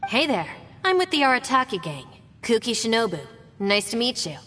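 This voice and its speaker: A calm, capable young gang member. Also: female voice, a little high, a little fast, faint music behind. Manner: composed, matter-of-fact. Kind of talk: video game voice acting. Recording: game audio with long reverb.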